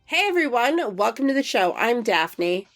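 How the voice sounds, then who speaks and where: A woman's voice: fairly high pitch, very animated, moderate pace, soft background music. A woman co-hosting a film podcast, her voice nasal from a cold, chatting in a dry, matter-of-fact way. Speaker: podcast co-host. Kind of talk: casual chat.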